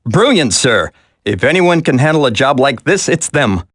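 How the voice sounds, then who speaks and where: Government aide, game dialogue, average pitch, polite, formal, deferential, dry recording. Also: male voice, sing-song, normal speed, fairly bright tone, no room sound. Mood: earnest, deferential. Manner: polite, formal, reporting to a superior. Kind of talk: video game voice acting.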